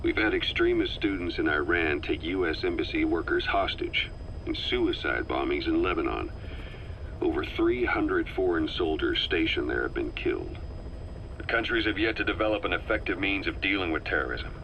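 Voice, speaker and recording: An actor playing a composed military intelligence veteran who briefs his commander in a measured, matter-of-fact way in a video game. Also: low voice, expressive, highs cut off, a little hiss or hum. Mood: serious, explanatory.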